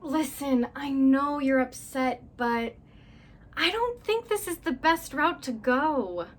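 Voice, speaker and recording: Brief: young woman giving sly advice, high voice, unhurried, played by an actress. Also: lively intonation. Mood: coaxing.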